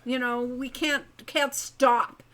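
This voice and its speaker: A woman's voice: high-pitched, little pitch movement, takes it slowly, bright, forceful tone. A woman chatting casually as a game developer co-hosting a livestream.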